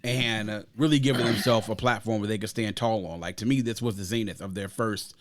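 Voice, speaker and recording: A man's voice: lowish voice, a little fast. A man co-hosting a podcast, talking casually and enthusiastically about a favorite album, rambling with fillers. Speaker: podcast co-host. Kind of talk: casual album review chat.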